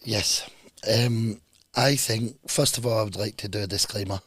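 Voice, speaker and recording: A man in his thirties with a hoarse, husky voice he has lost, co-hosting a casual couple's podcast, jokey and quick to laugh. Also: lowish voice, steady tone, fairly bright tone, dry recording. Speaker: podcast co-host.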